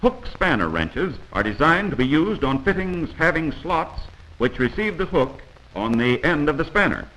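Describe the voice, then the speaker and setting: A man's voice: on the high side, very animated, moderate pace, a bit bright, low-quality recording. An American man narrating a 1940s instructional film in a commanding, corrective manner, on a vintage film soundtrack.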